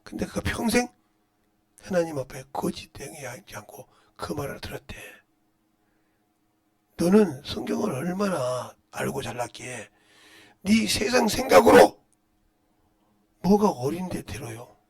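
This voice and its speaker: A middle-aged man preaching as a pastor in a blunt, scolding manner, firing rhetorical questions and swinging between muttered asides and loud outbursts. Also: big pitch swings, moderate pace. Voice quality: loud, booming voice. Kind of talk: impassioned Korean-language sermon with rhetorical questions.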